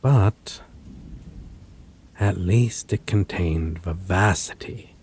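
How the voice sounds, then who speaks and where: Male actor for brooding vampire, audio roleplay: deep, breathy, theatrical, possessive, ornate delivery near a whisper, over faint rain and thunder. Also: a little mellow, a little hiss or hum, heavily acted. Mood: longing, intense. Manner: formal, theatrical, ornate wording, lingering pauses. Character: possessive male vampire, menacing, wistful, aristocratic. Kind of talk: dramatic audio roleplay monologue to a listener.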